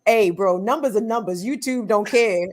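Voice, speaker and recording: A woman's voice: mid-range voice, moderate pace, a little mellow. A woman with a Central Maryland accent, co-hosting a casual live-stream chat with playful, teasing banter. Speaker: co-host.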